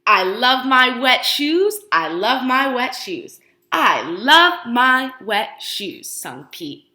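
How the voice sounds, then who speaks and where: A woman preschool teacher reading aloud to young children, encouraging them with questions and pauses, using exaggerated, expressive emphasis. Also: a little high. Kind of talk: animated children's read-aloud and lesson. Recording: roomy indoor echo.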